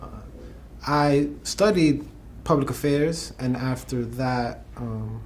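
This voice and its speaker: A man's voice: mid-range voice, slow, slight background noise. A man, introducing himself for a documentary interview. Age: 20s. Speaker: alumnus working in education.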